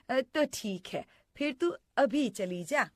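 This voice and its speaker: Cartoon stepmother, played by a woman: cruel and scheming, slow measured delivery, close dry sound. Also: fairly high pitch, lively intonation, no echo. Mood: falsely sweet. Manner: scolding, plotting, falsely sweet. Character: scheming cruel stepmother who feigns sweetness. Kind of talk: animated story character acting.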